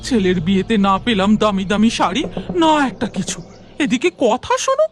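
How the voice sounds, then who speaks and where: A woman's voice: mid-range voice, sing-song, moderate pace, lightly acted. A woman voicing a spiteful older mother-in-law who nags and blames, in a cartoon. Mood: nagging. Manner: nagging and blaming. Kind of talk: cartoon voice acting. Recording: music bed behind the voice.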